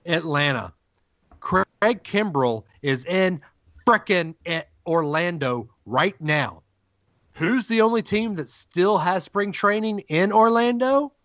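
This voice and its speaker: A man's voice: high voice, animated, fairly bright tone, no room sound, muffled recording. Ranting man on a podcast. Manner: emphatic ranting, dramatic pauses. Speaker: podcast co-host. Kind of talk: casual sports podcast banter.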